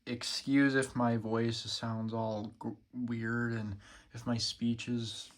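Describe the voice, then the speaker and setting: A man's voice: medium pitch, level tone, slow pace. Sick young man rambling casually. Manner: rambling, many ums and uhs, loose and casual. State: sore throat, feeling sick. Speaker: siren collector vlogger. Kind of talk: casual show-and-tell vlog.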